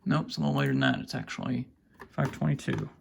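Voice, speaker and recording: A man with a creaky voice giving a casual, halting off-the-cuff show-and-tell as a hobbyist, half talking to himself. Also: medium pitch, big pitch swings. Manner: halting, muttering to himself while handling gear. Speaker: hobbyist collector presenting weather radios. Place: indoors, small cluttered room at home. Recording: faint background noise, clicks and handling sounds.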